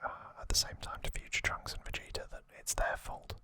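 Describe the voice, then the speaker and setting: A man whispering a casual ASMR recap in short, hushed, unhurried phrases. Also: no room sound. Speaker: ASMR host.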